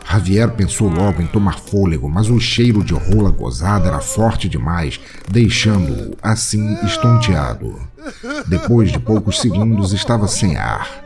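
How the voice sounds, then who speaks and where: Low-voiced Brazilian man narrating theatrically. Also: sing-song, a little slow. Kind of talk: dramatic scripted narration of a comic audio drama. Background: music.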